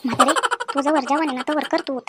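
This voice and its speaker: A woman acting a high-voiced, fast-talking nagging village wife. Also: fairly even intonation, very noisy, close to an everyday voice. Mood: bitter grumbling. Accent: rural Maharashtrian. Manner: complaining, scolding, colloquial. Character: nagging, quick-tongued village wife and daughter-in-law, full of grievances. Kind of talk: acted family story dialogue.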